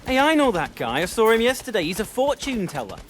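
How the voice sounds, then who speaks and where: A man's voice: high-pitched, very animated, a little fast, a bit bright, slight background noise. A man voicing a sarcastic young hero in a game, with a wry, offhand manner. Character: sarcastic young male hero driving a cart. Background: music.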